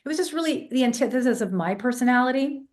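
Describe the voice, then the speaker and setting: A woman's voice: high-pitched, fast. Congested English-raised woman vocal coach. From England. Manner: animated storyteller, demonstrates vocal sounds. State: congested, voice less clear than usual. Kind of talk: casual interview talk.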